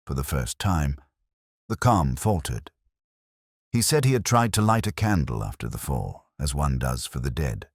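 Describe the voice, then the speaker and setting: A man playing a weary, melancholy police inspector, narrating his case in a measured, literary, brooding way as a first-person detective story. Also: a bass voice, no echo, studio quality, full character voice.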